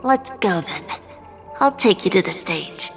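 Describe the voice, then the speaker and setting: A woman voicing a gentle, curious, innocent young child in a video game, with polite and simple phrasing. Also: mid-range voice, animated, a little slow, creaky. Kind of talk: dramatic video game acting. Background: music.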